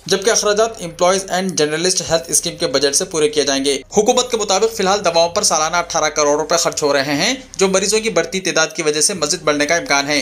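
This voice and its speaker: Male news reader, slightly high-pitched voice, formal delivery of scripted bulletins. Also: a bit bright.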